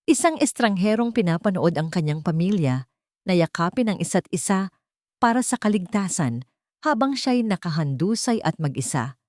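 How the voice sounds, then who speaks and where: A woman narrates an audio drama in a fairly low voice, close and dry. Her delivery is solemn and emotive, with deliberate pauses for dramatic weight. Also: expressive, a little mellow, no echo. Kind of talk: dramatic scripted storytelling narration.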